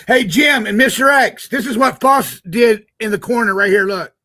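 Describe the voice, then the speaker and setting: A man hosting a livestream, excitable and stammering as he talks over the others. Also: high voice, moderate pace. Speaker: show host. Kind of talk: casual livestream chat.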